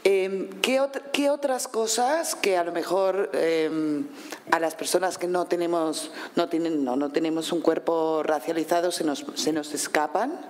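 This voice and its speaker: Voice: mid-range voice, measured pace, darkish tone, a little hiss or hum. Moderator with long hall reverb. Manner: probing, rephrasing questions, loose and searching. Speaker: panel moderator. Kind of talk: moderating a panel. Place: conference hall.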